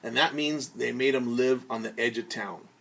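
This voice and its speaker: A man telling a story as a teacher to young children, theatrical, pausing to explain words simply and acting out sounds. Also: medium pitch, steady tone, creaky, fairly bright tone, slightly rough.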